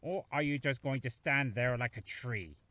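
An actor playing a gruff, lonely male forest beast in a cartoon, curt and dryly dismissive. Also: medium pitch, normal speed, bright, forceful tone, a little hiss or hum, natural voice. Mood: dry, guarded. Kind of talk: animated fan drama acting.

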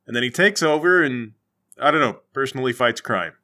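Animated man with a bright, forceful voice. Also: medium pitch, fairly quick. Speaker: tabletop game player. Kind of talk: casual comedic banter.

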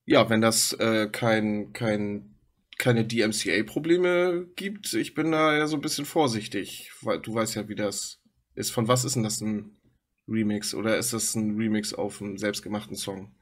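A man's voice: fairly low pitch, unhurried. A man streaming from home on a headset, chatting casually to his viewers while reacting to a video. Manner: chatty, talks to his chat and pet. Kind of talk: casual live-stream commentary.

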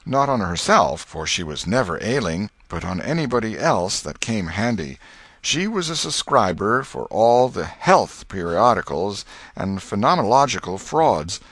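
A man narrating a classic novel as an audiobook, reading scripted literary prose with expressive, storytelling phrasing. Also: low-pitched, a little slow, slightly rough, no room sound.

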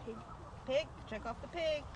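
High-voiced woman chatting outdoors, very noisy. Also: normal speed. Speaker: mother on a family outing. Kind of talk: casual family chat while walking. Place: outdoors in a cornfield maze. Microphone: handheld camera microphone. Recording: noisy outdoor handheld camera audio.

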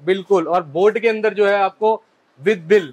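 A man in his thirties, a wholesale shop owner, giving an eager sales pitch on a lapel mic in a small basement stockroom. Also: measured pace, no echo. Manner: eager salesman, keeps repeating "absolutely". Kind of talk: sales pitch in a store tour.

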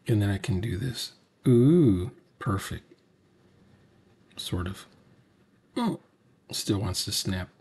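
A man's voice: lowish voice, warm tone, husky. A man in his sixties giving casual, off-the-cuff reaction commentary, muttering asides as if talking to himself. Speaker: reaction video host. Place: cluttered home room, indoors.

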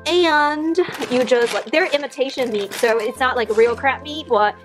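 A woman's voice: high-pitched, moderate pace. A woman in her thirties vlogging casually, chatty and upbeat with lots of fillers, filmed on a handheld camera at arm's length. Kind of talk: casual vlog chat. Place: home kitchen and outdoors on a bike ride. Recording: music playing underneath.

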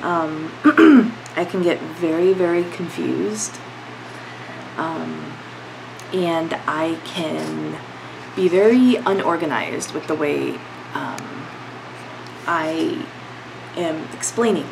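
Woman, young adult, congested, rambling home vlog, low-ish voice, air conditioner hum. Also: drawn-out words, vocal fry, pauses often. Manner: rambling, filler-heavy, candid. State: congested from a cold. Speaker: vlogger. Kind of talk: casual talk to camera. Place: bedroom at home, indoors.

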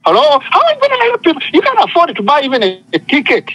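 A man's voice: very high voice, huge pitch swings, quick pace, sharp tone, no echo, low-quality recording. A man preaching an impassioned sermon, speaking emphatically with repetition and often calling for responses from listeners. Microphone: phone conference call.

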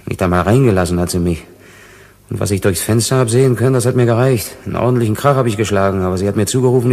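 Husky, bitter male colony worker. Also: lowish voice, a little mellow. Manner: gruff, grudging. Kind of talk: German radio play acting. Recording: voice in long hall-like reverb.

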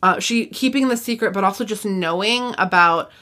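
A woman with a mid-pitched voice hosts a casual book podcast. She talks in a loose, conversational way and peppers her sentences with "like" and "you know". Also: normal speed, sharp tone. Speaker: podcast host. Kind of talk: casual chat about books.

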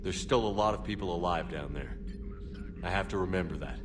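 A man's voice: low voice, fairly quick, distant mic, background noise, natural voice. A man playing a stoic, resolute military commander in a video game, terse and businesslike. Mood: resolute, matter-of-fact. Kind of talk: video game cutscene acting. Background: music.

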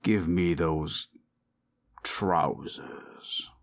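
A man voicing a possessive male monster of the forest in an audio drama, curious and commanding, with slow, drawn-out delivery. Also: sits low, pitched below the performer's own voice, full character voice. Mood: demanding, curious. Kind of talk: audio roleplay acting.

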